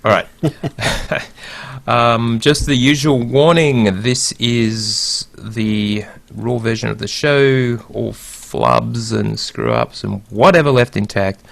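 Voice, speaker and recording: A man's voice: mid-range voice, slow pace, vocal fry, fairly bright tone, no room sound. A man co-hosting and producing a podcast, talking in a laid-back, wry way. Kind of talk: casual chat.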